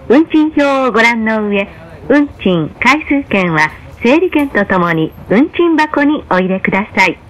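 Female announcer, slightly high voice, formal and measured, for scripted in-car train announcements. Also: fairly bright tone, poor audio.

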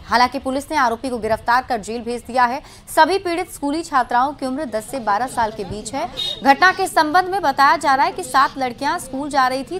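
A woman news anchor reading a bulletin of regional news in a brisk, formal headline style. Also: high-pitched, fairly bright tone. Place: television studio.

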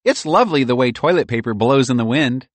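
A man voicing a cheerful, sweet-natured female squirrel who talks in a bright, chatty way. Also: mid-range voice, animated, brisk, dry recording, voice pushed up, close to an everyday voice.

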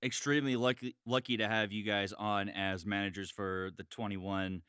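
A man's voice: lowish voice, level tone, normal speed, a bit bright, clear, resonant tone, no room sound, clean studio sound. A man chatting casually and emphatically on a podcast, often repeating his words. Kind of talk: casual chat.

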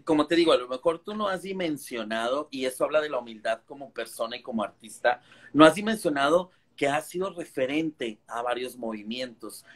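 A man in his thirties talks earnestly over a phone video call. He lectures a little, filling his sentences with hesitations and restarts. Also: medium pitch. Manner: earnest, preachy, many fillers and restarts. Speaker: guest on a live stream. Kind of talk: casual video call chat.

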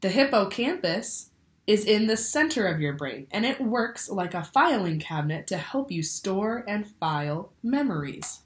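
A woman's voice: mid-range voice, sing-song, unhurried. A young woman reading a children's picture book aloud like a teacher, expressively, asking the listeners questions. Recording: roomy indoor echo.

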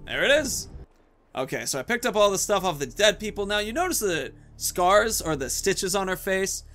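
Higher-voiced man narrating his gameplay. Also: animated, normal speed, sharp tone, faint music behind. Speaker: gaming video host. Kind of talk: casual gameplay commentary.

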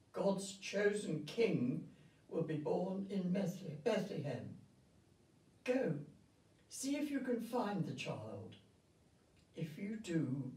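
A scheming, suspicious storybook king, as an older woman reads him, gives orders at a deliberate pace. The voice is mellow and commanding, picked up from a distance in a room. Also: low voice, heavily acted. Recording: roomy, distant microphone.

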